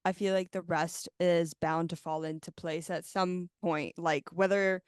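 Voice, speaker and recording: A woman's voice: on the low side, fairly even intonation, measured pace, clear, resonant tone, dry recording, clean studio sound. A woman hosting a podcast, chatting casually and reflectively with many fillers. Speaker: podcast host. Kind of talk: casual interview chat.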